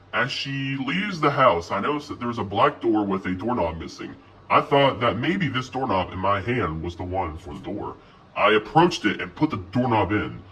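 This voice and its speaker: A man telling a scripted horror story in an expressive, first-person narration. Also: lowish voice. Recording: voice distant from mic.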